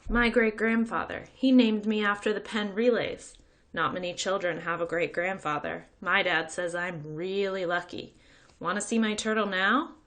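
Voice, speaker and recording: A woman voicing a naive, friendly schoolboy from a children's book, earnest and excitable. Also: mid-range voice, moderate pace, natural voice. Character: naive, friendly, eager little schoolboy, proud and trusting.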